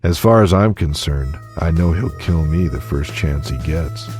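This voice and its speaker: A man's voice: extremely low pitch, expressive, a little slow, music in the background. A man voicing a wary, shrewd Old West drifter with serious, drawling frontier talk in an audiobook story. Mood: grim, wary.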